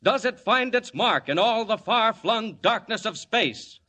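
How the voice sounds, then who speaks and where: Brief: male serial announcer, 1940s style, booming and forceful, high and animated. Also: measured pace. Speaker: announcer and narrator. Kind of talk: 1940s radio serial narration.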